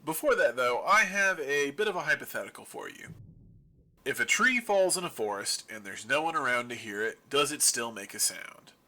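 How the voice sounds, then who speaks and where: A man's voice: mid-range voice, very animated, moderate pace, pushed and bright, full character voice. A man playing a wry survivor of a zombie apocalypse in an audio drama, rambling to his listeners in a casual, sarcastic, self-deprecating radio monologue. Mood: wry, chatty.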